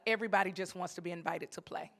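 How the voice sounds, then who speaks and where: A woman's voice: lowish voice, fairly even intonation, rapid-fire, fairly bright tone. A woman panelist speaking emphatically and upbeat at a festival panel discussion. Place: lounge at a festival panel event.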